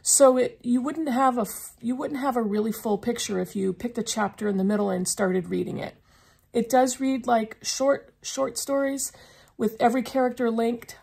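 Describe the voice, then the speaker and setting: A woman's voice: fairly high pitch, moderate pace, creaky, darkish tone, husky. A middle-aged woman chatting casually to camera as a book vlogger, her voice slightly froggy from a lingering cough. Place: small indoor room lined with bookshelves.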